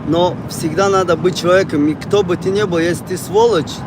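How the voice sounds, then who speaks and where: A man's voice: high voice, fairly even intonation, a little fast. A man from Tajikistan, chatting casually and ramblingly with viewers on a livestream. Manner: rambling, conversational, repeats phrases. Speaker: live streamer. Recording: noisy outdoor or car street noise.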